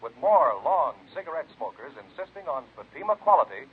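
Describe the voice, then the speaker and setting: A man's voice: on the high side, sing-song, a little fast. A man reading a punchy 1950s radio commercial. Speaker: commercial announcer. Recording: thin, tinny vintage radio broadcast.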